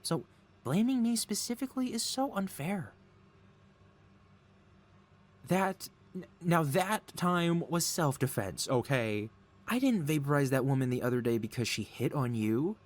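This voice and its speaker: A man's voice: on the high side, expressive, normal speed, natural voice. A man playing a gleeful, lovesick supervillain mad scientist in an audio roleplay, melodramatic and wheedling with an air of feigned innocence. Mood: wounded, defensive. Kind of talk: ASMR audio roleplay, scripted character acting.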